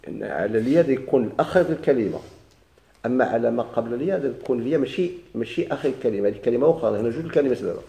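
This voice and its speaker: A man with a Moroccan Arabic accent teaching Arabic grammar. He explains slowly, repeats and stretches key words, and puts questions to his students. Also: medium pitch, warm tone. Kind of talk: recorded grammar lesson. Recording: echoey classroom recording.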